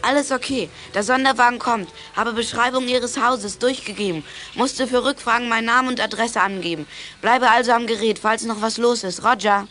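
A woman's voice: a little high, normal speed, fairly bright tone, faint music behind, lightly acted. A woman playing an eager, conscientious schoolboy radio amateur in a German children's radio play. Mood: brisk, businesslike.

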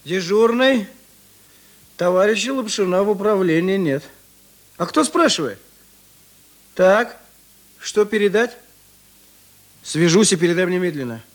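A woman playing a brisk, formal police duty officer answering a call in a radio play, with clipped delivery. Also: medium pitch, animated, slight background noise, natural voice. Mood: businesslike. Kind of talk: Russian radio play acting.

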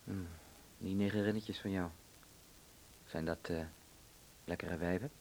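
A cynical, self-satisfied lawyer in a wartime radio drama. His voice is on the low side, sardonic and coarse, always needling. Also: fairly even intonation, a little slow, a little mellow, a little hiss or hum, rough recording. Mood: needling, crude. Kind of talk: Dutch radio play acting.

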